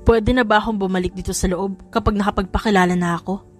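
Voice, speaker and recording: A woman's voice: mid-range voice, steady tone, fast talker, pitched below the performer's own voice, natural voice. A woman voicing a nervous, naive young male heir with an anxious, questioning manner in an audiobook drama. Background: music.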